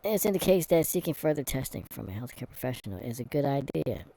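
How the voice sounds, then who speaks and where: A man reading an online quiz aloud in a casual vlog, answering it with sarcastic, deadpan asides and dark comic rants. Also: medium pitch, fast, creaky, low-quality recording. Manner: reads quiz text aloud, stumbling and muttering. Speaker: vlogger. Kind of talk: casual commentary while taking an online quiz.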